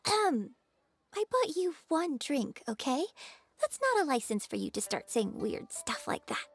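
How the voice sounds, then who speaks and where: A poised young female stage performer from a video game, speaks in a fairly high voice with a creaky edge. She is articulate and composed, friendly with a playful theatrical flair. Music plays softly behind her. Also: very animated, a little slow, no room sound, heavily acted. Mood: mildly chiding.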